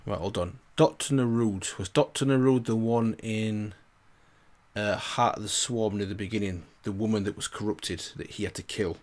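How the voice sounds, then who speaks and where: A man's voice: fairly low pitch, moderate pace, a little mellow. A man hosting a reaction video, musing aloud in casual commentary and repeating himself as he thinks.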